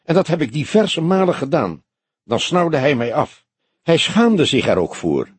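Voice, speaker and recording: A man's voice: fairly high pitch, very animated, unhurried, husky, no room sound, voice pushed up, full character voice. A man voicing a fierce, offended young woman with a snappish manner in an audiobook. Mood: subdued. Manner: snappish, indignant. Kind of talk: audiobook character dialogue.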